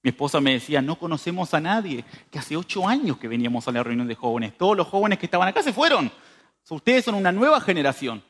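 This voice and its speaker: A man's voice: on the high side, very animated, fast talker, fairly bright tone. A man of thirty-five with a northern Uruguayan border accent preaching informally, speaking straight to his listeners and repeating phrases for emphasis. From northern Uruguay, near the Brazilian border. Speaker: preacher at a youth church meeting. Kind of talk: conversational sermon.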